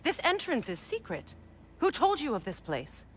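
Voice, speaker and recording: A woman playing a proud, commanding goddess in a video game, speaking in a direct and measured manner. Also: high voice, wildly swooping pitch, fairly bright tone, a little hiss or hum, full character voice. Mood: indignant, questioning.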